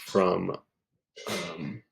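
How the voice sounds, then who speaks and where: A man's voice: sits low, fairly even intonation, very slow, vocal fry, husky. A man tutoring math, talking through a problem step by step in an informal way, thinking aloud with hesitations and self-corrections.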